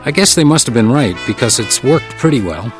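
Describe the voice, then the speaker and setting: A hard-boiled house detective, played by a male actor, weary and wry, in a radio crime drama. He talks in a terse deadpan at a middling pitch, with prominent music underneath. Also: a little fast, natural voice. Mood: brooding, wistful. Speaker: narrator and lead character. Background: music, guitar.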